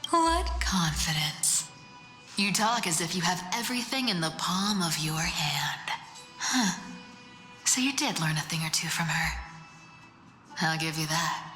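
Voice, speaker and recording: A woman's voice: fairly low pitch, bright, forceful tone, exaggerated voice. An actress playing a teasing female trickster demigod in a game, with a sly, brash, playful way of talking. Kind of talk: dramatic game voice acting. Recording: echoey reverberant hall, music behind.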